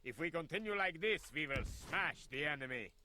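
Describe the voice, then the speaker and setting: Solemn army captain. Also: male voice, fairly high pitch, expressive, fairly quick, bright, forceful tone. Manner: solemn, formal battle announcements. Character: male army captain reporting on battle. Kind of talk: video game voice lines.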